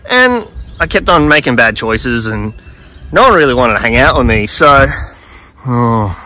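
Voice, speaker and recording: A man's voice: mid-range voice, pushed and bright, voice pushed down. A man playing an awkward, sheepish reformed bad guy who rambles with lots of fillers. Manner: rambling, hesitant, full of fillers.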